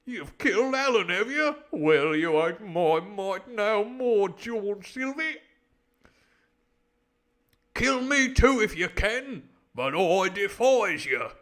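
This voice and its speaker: A man playing an honest, defiant sailor in a storybook, with slow, drawn-out, rustic pirate speech. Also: sits high, animated, fairly bright tone, pitched above the performer's own voice, heavily acted. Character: stout-hearted honest old sailor, defiant to the death.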